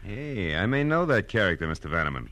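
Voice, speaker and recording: An actor playing a wry, tough-talking American private detective in a 1940s radio drama, terse and sardonic. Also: male voice, lowish voice, very animated, fast, pushed and bright. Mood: wry, probing. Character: hard-boiled, wry male private detective. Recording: vintage radio recording with music.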